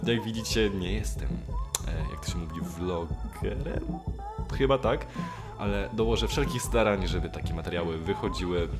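A young man with a fairly low voice answers questions in a casual, halting way, correcting himself as he goes. He is close on a desktop mic, with music clearly playing behind him. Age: young adult. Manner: hesitant, self-correcting, informal with occasional swearing. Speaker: YouTuber and amateur dubbing voice actor answering viewer questions. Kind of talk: casual vlog Q&A. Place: small room at home, indoors. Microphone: desktop condenser mic with pop filter, close.

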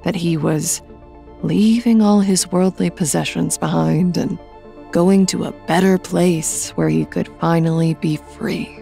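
A middle-aged woman playing a bitter, guarded widowed mother in an audio drama, curt and sardonic, with a gravelly voice. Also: lowish voice, steady tone, unhurried, mellow, soft background music.

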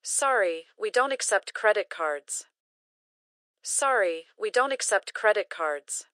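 A woman reading short English phrases slowly and carefully, each one repeated for learners to practice. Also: medium pitch, expressive, fairly bright tone, no room sound, clean studio sound. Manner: careful, clearly enunciated. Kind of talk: slow repeated phrase drills.

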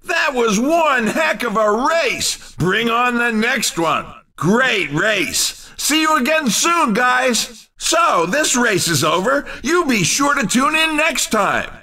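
Casting: over-excited, folksy arcade-racer announcer, wildly swooping intonation, unhurried delivery, exuberant showman. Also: very high voice, recorded from a distance, exaggerated voice. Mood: cheerful sign-off. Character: manic, over-excited male race announcer. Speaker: racing commentator. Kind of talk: hyped video game race commentary.

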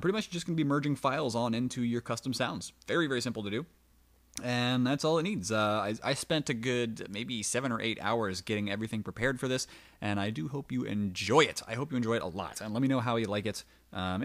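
A man talking casually and informally as a gaming video creator, addressing his viewers. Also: medium pitch. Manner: chatty, informal. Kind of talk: casual promo talk over gameplay footage.

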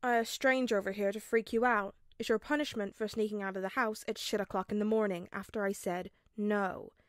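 A woman's voice: mid-range voice, fairly even intonation, a little fast, no echo, close to an everyday voice. Woman doing a disapproving sorcerer guy in a story reading, sardonic, dry close sound.

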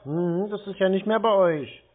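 A man's voice: high-pitched, moderate pace. A man in a casual phone conversation as a customer, terse and questioning. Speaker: caller posing as a customer. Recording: narrow, tinny phone line.

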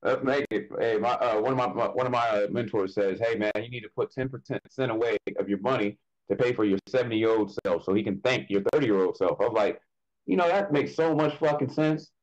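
A man's voice: medium pitch, brisk, a little mellow, muffled recording. A man co-hosting a podcast, talking casually and bluntly, swearing freely. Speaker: podcast co-host. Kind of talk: casual chat.

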